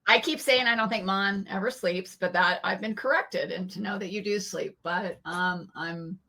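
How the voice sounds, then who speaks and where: Podcast host: woman with a bright, gravelly voice and a friendly, chatty way of talking. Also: medium pitch, fairly even intonation, normal speed. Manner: friendly, chatty, many fillers and restarts. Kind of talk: casual interview chat.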